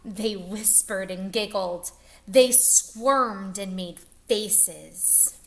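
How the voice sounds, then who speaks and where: A woman teacher reading a picture book aloud to young children, expressive and stretching words for emphasis. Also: mid-range voice, extremely slow.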